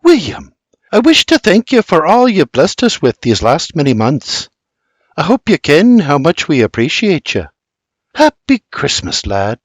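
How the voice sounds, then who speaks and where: A man's voice: fairly high pitch, wildly swooping pitch, a little slow, no echo, full character voice. A man voicing a kind, motherly middle-aged farm wife who speaks tenderly.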